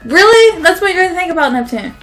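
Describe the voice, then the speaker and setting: A woman's voice: extremely high pitch, big pitch swings, fast talker, a bit bright. A woman co-hosting a game playthrough, chatting casually in a giggly, teasing way. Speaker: co-host, gamer. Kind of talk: casual let's-play commentary. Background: game music.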